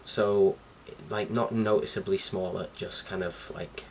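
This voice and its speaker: A man's voice: sits low, fairly even intonation, measured pace. A young man talking casually to camera as a vlogger, in an informal, slightly hesitant and rambling way. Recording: distant mic with faint background noise.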